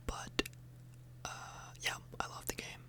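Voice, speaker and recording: Whispering woman, casual ASMR ramble. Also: slow pace. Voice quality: breathy, unvoiced whisper. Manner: informal, hesitant, meandering. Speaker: ASMR video host. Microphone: close microphone.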